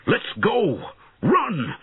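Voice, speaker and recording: A man voicing a bitter, defiant, strong peasant in a storybook, shouting with a husky voice. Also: high-pitched, wildly swooping pitch, drawn-out words, voice pushed up, full character voice. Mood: urgent. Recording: narrow, phone-like lo-fi audio.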